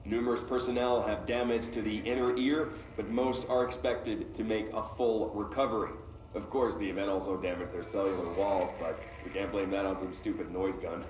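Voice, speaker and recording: A man's voice: on the low side, fairly even intonation, normal speed, a little mellow, slight background noise, close to an everyday voice. A roomy-sounding man's voice an actor playing a shady official. He dictates in officialese and slips into flippant, self-satisfied asides, every bit the smug bureaucrat. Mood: brisk, official. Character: smug, flippant male scientist-official dictating a cover story.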